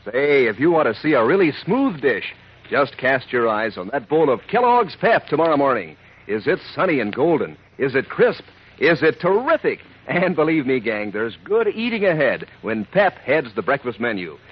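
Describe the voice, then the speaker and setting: An American man doing a peppy, folksy, emphatic 1940s radio advertising pitch aimed at children. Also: big pitch swings, normal speed, slight background noise. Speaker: commercial announcer. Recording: dull lo-fi 1940s radio recording.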